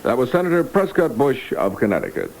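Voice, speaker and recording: Man reading 1960s radio news, widely varied pitch. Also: wildly swooping pitch, fairly quick, vocal fry, background noise. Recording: vintage 1960s AM radio aircheck.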